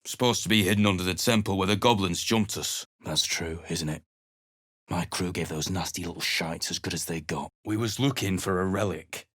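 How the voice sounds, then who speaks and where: An actor playing a bitter, gruff male human adventurer who has lost his crew, speaking with a surly, coarse, cursing manner. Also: low voice, moderate pace, a bit bright, dry recording. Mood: grim, surly. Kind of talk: video game voice acting. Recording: dry, close game studio recording.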